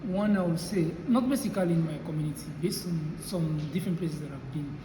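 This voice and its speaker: A man's voice: fairly high pitch, a little fast. Brief: young man from Sierra Leone, thoughtful, echoey noisy room. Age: young adult. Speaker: student interviewee. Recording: echoey room with clear background noise.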